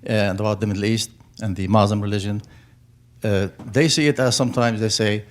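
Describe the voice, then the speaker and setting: A man's voice: on the low side, steady tone, a little fast. A man whose first language is Arabic, speaking with an Arabic accent, asking a long, earnest question from the audience and rephrasing as he goes. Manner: earnest, wordy, circling back to rephrase.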